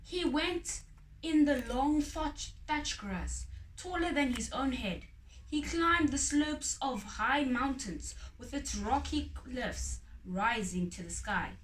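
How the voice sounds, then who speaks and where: A woman's voice: high voice, lively intonation, takes it slowly. Woman reading a story in an echoey room. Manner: dramatic, emphatic storytelling. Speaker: storybook reader. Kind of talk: expressive read-aloud of a children's story. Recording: roomy echo, distant mic, faint noise.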